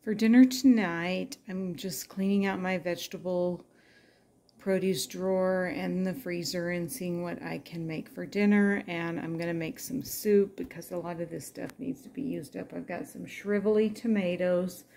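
A woman's voice: on the low side, steady tone, moderate pace, gravelly, dark tone. A middle-aged woman casually narrating her home cooking, chatty and homey. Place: home kitchen.

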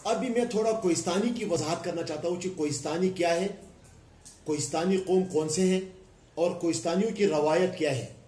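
A man's voice: high voice, warm tone. A middle-aged man from Pakistan, a former councillor, giving an emphatic press conference statement into a cluster of microphones. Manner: emphatic, gesturing with raised hands, speaking in listed repetitions. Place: indoor room before a plain wall. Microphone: cluster of press microphones on a table. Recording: echoey room.

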